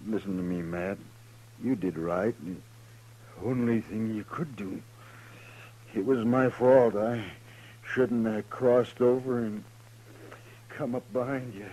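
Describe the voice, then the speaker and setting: Wounded frontier lawman, resigned and labored, drawn-out low delivery, vintage radio. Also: warm tone, rough recording. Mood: weak, resigned. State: weak, labored, halting speech. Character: dying loyal Old West lawman, man, resigned. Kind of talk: 1950s radio western acting. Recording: old radio recording, faint noise.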